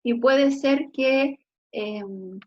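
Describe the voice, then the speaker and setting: A Chilean woman teaches a class over a dull, lo-fi connection. Her voice sits fairly high, and she keeps checking that her students are following. She praises their examples warmly and often. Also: drawn-out words. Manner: frequent checking "¿ya?" tags, encouraging. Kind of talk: online class lecture.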